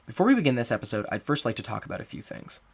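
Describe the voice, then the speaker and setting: A man's voice: mid-range voice, sing-song, racing, vocal fry, breathy edge. A man reading a scripted introduction and announcements as the writer and director of a show.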